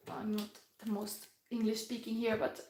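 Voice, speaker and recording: A woman from Finland with a Finnish accent, giving a rambling, musing tarot reading in English that often drops to a soft whisper. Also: fairly high pitch, slight background noise. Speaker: tarot reader. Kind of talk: live pick-a-pile tarot reading chat.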